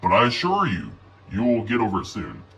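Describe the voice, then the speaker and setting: A man's voice: fairly low pitch, wildly swooping pitch, fairly quick, creaky, bright, forceful tone, recorded from a distance, exaggerated voice. A man voicing a sinister, gloating old man in a horror story. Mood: gloating, cheerful menace.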